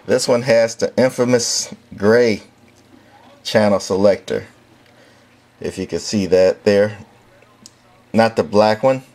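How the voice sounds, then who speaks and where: Slow, low-voiced man demoing a radio. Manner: slow, matter-of-fact, repeats test words into the mic. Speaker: radio collector demonstrating equipment. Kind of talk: casual hands-on demo narration. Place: indoor radio workshop.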